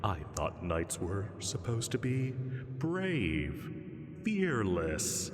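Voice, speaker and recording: Man playing a deep, very slow cavernous dragon. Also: wildly swooping pitch, soft background music, heavily acted. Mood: teasing, coaxing. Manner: slow, soothing, teasing purr with drawn-out pauses. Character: huge male dragon, lazily amused, gently menacing. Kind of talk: roleplay sleep-aid audio drama. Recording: long cavernous reverb.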